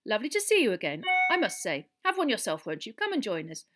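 Woman as a pompous, gruff older government minister. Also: medium pitch, wildly swooping pitch, quick pace, a bit bright, soft background music, pitched above the performer's own voice. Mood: hearty. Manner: pompous, confiding.

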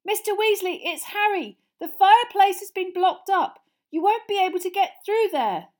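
A woman's voice: very high voice, big pitch swings, unhurried, voice pushed up, heavily acted. A woman voicing an earnest, polite young teenage boy wizard for a storybook, explaining things. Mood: calling out, explaining.

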